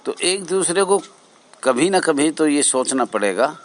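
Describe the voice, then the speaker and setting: An older man giving an informal address as an association leader, exhorting his fellow members in halting, repetitive phrases. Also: on the high side, animated. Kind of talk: informal spoken address to fellow home guards.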